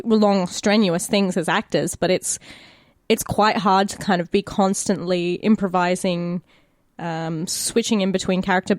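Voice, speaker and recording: A woman's voice: fairly low pitch, dry recording, clean studio sound. A woman with an Australian accent chatting casually and candidly as an actor on a podcast, swearing freely. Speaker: podcast cast member, actor. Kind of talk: casual chat.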